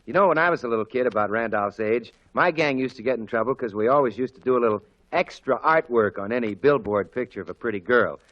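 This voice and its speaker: A man's voice: medium pitch, fast talker, few pauses. An American man announcing on 1940s radio in an emphatic, folksy, salesmanlike scripted style. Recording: muffled old 1940s radio broadcast.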